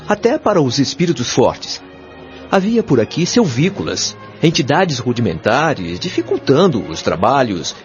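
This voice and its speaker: Guy acting earnest brazilian spirit guide, animated intonation, explains stuff formally, muffled audio drama w music. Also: mid-range voice. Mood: earnest, explanatory. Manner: didactic, measured, formal diction. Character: male spirit guide, earnest, patient resident of heavenly colony. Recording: dull lo-fi audio with music behind.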